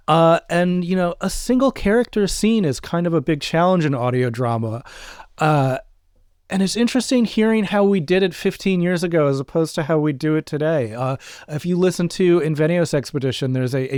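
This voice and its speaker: A man co-hosting a podcast in casual, halting chat, introducing an audio drama episode. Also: fairly high pitch, darkish tone, no echo, studio quality. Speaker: podcast co-host.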